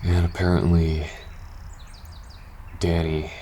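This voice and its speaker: A man playing a weary, uneasy soldier confiding in his best friend in a post-apocalyptic ASMR audio roleplay, speaking haltingly and casually. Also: low voice, little pitch movement, warm tone, a little hiss or hum. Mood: troubled, suspicious. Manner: hesitant, halting, casual swearing, sighing.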